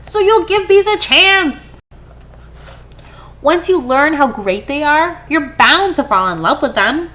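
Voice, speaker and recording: A woman's voice: sits high, animated, slow, pushed and bright, full character voice, poor audio. A woman voicing an eager, coaxing bee-loving best buddy from a picture book. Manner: enthusiastic, coaxing.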